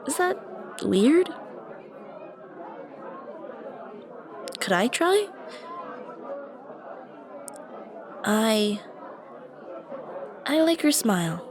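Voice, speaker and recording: A woman playing a shy, anxious young stranger who rambles hesitantly and self-consciously to the listener in an ASMR roleplay. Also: medium pitch. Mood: awkward, eager. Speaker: roleplay voice actress. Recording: busy cafe chatter behind voice. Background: coffee shop crowd murmur.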